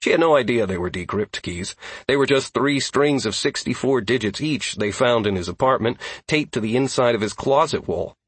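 Audiobook companion role, acted by a man: unflappable, wry man, mid-pitched, raspy, lively inflection, dry sound. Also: normal speed, no room sound. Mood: matter-of-fact. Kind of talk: audiobook character dialogue.

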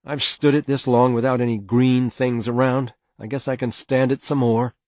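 Man voicing a bitter farm wife, pitched up. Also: level tone, normal speed, darkish tone, no echo, lightly acted. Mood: resigned. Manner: pleading, aggrieved. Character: persistent, bitter farm wife and mother, long-suffering.

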